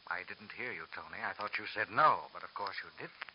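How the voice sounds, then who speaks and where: A man's voice: on the low side, fairly quick, natural voice. A man playing a menacing, silky nightclub boss who slides from coaxing politeness into bullying threats, in vintage radio drama style. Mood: insistent, bullying. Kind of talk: 1950s radio drama acting. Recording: muffled, hissy old radio recording.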